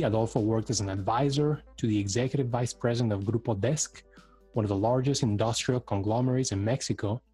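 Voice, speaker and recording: A man's voice: mid-range voice, dark tone, breathy edge. A man hosting an interview podcast, presenting and putting questions to a guest. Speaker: podcast host. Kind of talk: scripted introduction and interview.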